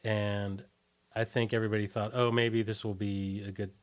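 Loose, halting man chatting casually. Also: on the low side, little pitch movement. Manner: loose, halting, often trailing off. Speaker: interview guest, musician. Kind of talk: casual chat, recollections.

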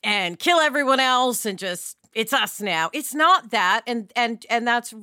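Bright, high-voiced woman in discussion. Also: lively intonation, unhurried, dry recording, clean studio sound. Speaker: podcast panelist. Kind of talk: casual opinionated panel discussion.

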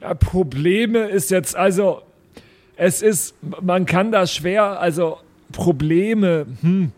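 A man speaking casually and haltingly as a player in an improvised tabletop role-play. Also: sits high, darkish tone. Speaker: player in a tabletop audio drama.

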